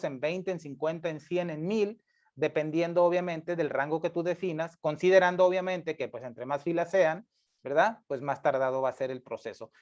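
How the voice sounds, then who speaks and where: A man's voice: on the high side, darkish tone. A male instructor, explaining step by step, patiently repeating points and correcting himself with frequent tag questions. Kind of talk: screencast coding lesson. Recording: dull lo-fi mic.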